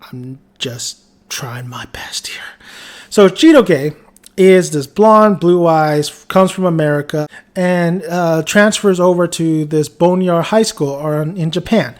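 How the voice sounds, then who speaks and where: A man's voice: fairly high pitch, darkish tone. A man with a Mexican accent giving a casual, rambling video review, often restarting his sentences and chuckling as he talks. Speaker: anime reviewer.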